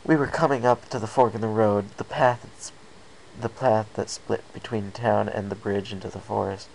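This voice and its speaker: A man with a low, creaky voice reading a scripted first-person adventure story as narrator. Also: steady tone, moderate pace, low-quality recording. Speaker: amateur story reader. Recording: faint background hiss.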